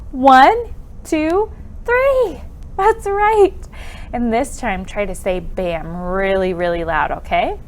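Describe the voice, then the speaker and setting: A woman's voice: high voice, a bit bright. A woman presenting an interactive storytime for young children, with counting songs and exaggerated exclamations and questions.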